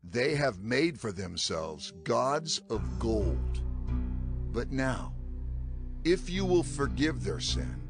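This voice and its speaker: A man's voice: medium pitch, big pitch swings, takes it slowly. A man playing an impassioned, stern prophet and leader, declaiming dramatically in a dramatized audio Bible. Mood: anguished pleading. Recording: faint music behind the voice.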